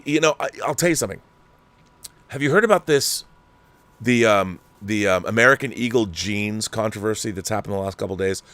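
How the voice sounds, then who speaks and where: A man's voice: medium pitch, fairly bright tone, no room sound. A man hosting a commentary show, delivering a heated, sarcastic, exasperated rant. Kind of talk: ranting commentary monologue.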